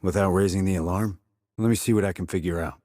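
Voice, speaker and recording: An actor playing a terse, dry, confident military sniper in a video game, with a husky voice and clipped, controlled reports. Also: male voice, low voice, steady tone, fast, dark tone, no echo, close to an everyday voice. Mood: businesslike. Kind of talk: scripted military game dialogue.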